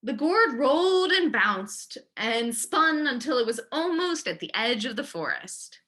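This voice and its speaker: A woman's voice: sits high, sing-song, measured pace, a bit bright. A woman reading a children's story aloud expressively, as a librarian does at storytime.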